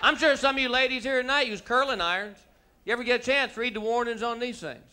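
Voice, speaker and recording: A man's voice: very high voice, fast talker, pushed and bright, a little hiss or hum. A man in his thirties from Texas with a Texan accent, performing a stand-up comedy routine on a theater stage, drawling through stories and slipping into silly voices. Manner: drawling storyteller, slips into silly character voices. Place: theater stage before a live audience. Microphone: handheld microphone. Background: audience laughter.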